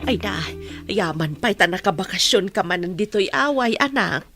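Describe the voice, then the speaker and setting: An actress playing a middle-aged village mother in a radio drama, worried, questioning and expressive. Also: medium pitch, moderate pace, faint music behind. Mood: curious, concerned.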